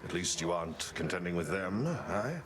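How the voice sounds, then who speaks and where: A dutiful warrior comrade, genial and soldierly. His low voice gives polite, clipped reports in game dialogue, with clear ambient noise behind. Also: sing-song, moderate pace. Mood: steady concern. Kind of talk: video game character dialogue.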